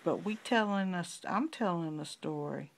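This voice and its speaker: A middle-aged woman, a grandmother improvising a children's story with long pauses and coaxing questions. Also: fairly low pitch, gravelly. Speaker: grandmother telling a bedtime story.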